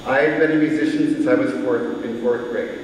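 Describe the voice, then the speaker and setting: A man's voice: mid-range voice, fairly even intonation, normal speed, slight background noise. Chatty man in a roomy echoing hall. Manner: chatty, self-deprecating between songs. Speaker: singer and composer. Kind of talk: live concert talk and singing. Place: performance hall. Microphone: room mic at a distance. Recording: echoey hall, voice from far off. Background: instrument music.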